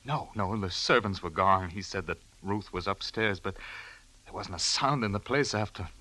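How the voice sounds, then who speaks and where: An actor in a 1940s-style radio crime drama playing a guilt-ridden, desperate husband, agitated and halting. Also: male voice, sits low, pushed and bright, poor audio. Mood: shaken, panicked. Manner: agitated, stammering, halting delivery. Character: panicked, guilt-ridden husband who has just killed his employer.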